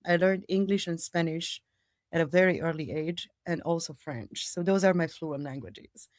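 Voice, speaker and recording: A middle-aged woman from Romania, Romanian her first tongue, speaking in English in a fairly low voice. She answers at length, repeats words for emphasis and drifts into detours. The recording is dry and close, with no room sound. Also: normal speed, no room sound. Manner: expansive, digressive, emphatic repetition. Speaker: people operations director, guest. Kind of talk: interview answers.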